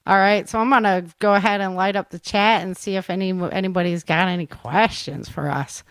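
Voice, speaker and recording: A woman's voice: medium pitch, fairly even intonation, fairly quick, smooth, dry recording. A woman hosting a podcast in casual chat, with an informal, rambling, giggly way of talking. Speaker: podcast host.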